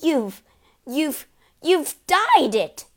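A woman's voice: medium pitch, very animated, a little slow. A woman voicing a scornful, scolding storybook mother, exasperated and apt to shriek at her husband. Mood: appalled scorn.

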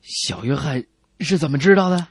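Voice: mid-range voice, lively intonation, normal speed, slightly rough, no echo. A comedy radio co-host narrating scripted jokes in a playful style.

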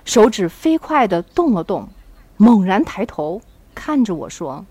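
A woman hosting a podcast, moving between casual chat and playful story narration in a giggly, animated way. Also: mid-range voice, measured pace, husky. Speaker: podcast host.

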